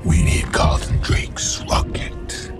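A man voicing a monstrous, predatory alien symbiote with a guttural, gravelly voice, commanding and menacing in a film. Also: slow, loud music behind the voice, lightly acted. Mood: cold menace.

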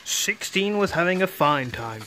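A man's voice: sits high, expressive, a little slow, sharp tone, a little hiss or hum. A man narrating a homemade toy-train story in a rambling, offhand, crude comedic way, with music behind. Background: background music.

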